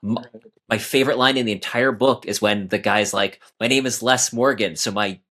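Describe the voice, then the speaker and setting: A man's voice: on the low side, steady tone, moderate pace, pushed and bright. A man speaking casually as an author guest in a podcast interview.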